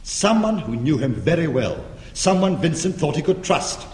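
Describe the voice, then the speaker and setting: A man's voice: fairly high pitch, very animated, normal speed, a little mellow, a little hiss or hum. An actor playing a defiant, sardonic British criminal arguing his case insistently in a radio drama. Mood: sardonic, urgent. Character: defiant, quick-witted male criminal defending himself. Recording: echoey, reverberant church-like space.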